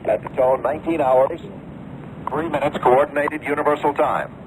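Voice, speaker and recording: A man's voice: fairly high pitch, steady tone, normal speed. A man giving formulaic time announcements as the voice of a shortwave time signal. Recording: noisy 1980s shortwave radio.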